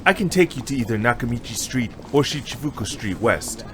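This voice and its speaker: A man's voice: mid-range voice, expressive, fairly quick, natural voice. A man playing a helpful, businesslike city taxi driver in an audio drama, with faint noise in the recording.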